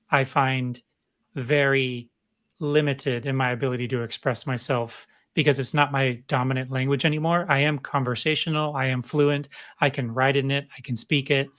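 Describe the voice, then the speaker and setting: American guy chatting on a panel, laid-back and jokey. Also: medium pitch. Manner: relaxed, joking storyteller. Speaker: guest creator. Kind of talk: casual panel chat.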